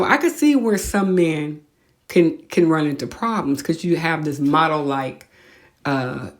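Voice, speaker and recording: A woman with an American accent hosts a casual podcast monologue, talking in an animated, opinionated way with blunt slang. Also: lowish voice. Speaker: podcast host.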